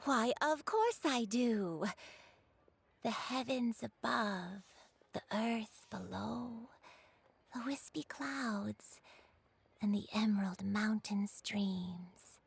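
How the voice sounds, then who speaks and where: A woman's voice: a little high, very slow, creaky, a little hiss or hum, exaggerated voice. A woman voicing a forgetful, doting old grandmother in a video game, with a wistful, musing manner. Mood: dreamy, wistful.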